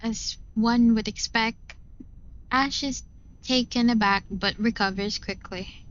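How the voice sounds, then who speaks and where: A woman's voice: a little high, fairly even intonation, slow, highs cut off. A woman reading first-person visual novel narration in a dramatic storytelling style. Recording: music behind the voice.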